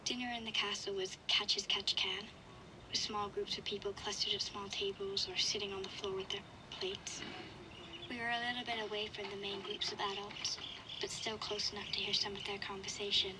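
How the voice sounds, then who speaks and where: A young girl survivor played by a woman records her diary in a game audio log. Her delivery is even and restrained, measured and reflective, with a wary matter-of-fact tone. Clear ambient noise sits behind the voice. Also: mid-range voice, close to an everyday voice. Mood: somber, reflective. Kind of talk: first-person audio log narration.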